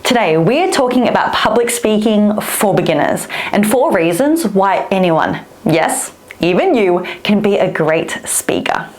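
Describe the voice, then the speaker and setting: An upbeat woman speaking coach talks straight to the camera in a chatty, expressive way. Her first language is Vietnamese, and her voice sits at a middle pitch for a woman. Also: measured pace, hardly any pauses. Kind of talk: direct-to-camera coaching talk.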